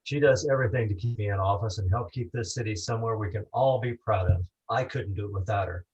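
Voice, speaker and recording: A man's voice: on the low side, quick pace, a little mellow, highs cut off, natural voice. A man playing a self-satisfied politician giving a campaign speech in a noir radio play. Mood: smug. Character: self-satisfied city politician running for re-election.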